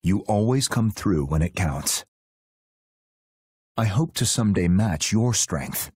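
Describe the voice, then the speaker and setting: A man voicing a stoic, loyal royal guardian in a video game, husky, solemn, terse and formal. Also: lowish voice, animated, slow, a little mellow, no room sound, studio quality, exaggerated voice. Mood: sincere, respectful. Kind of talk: video game battle voice lines.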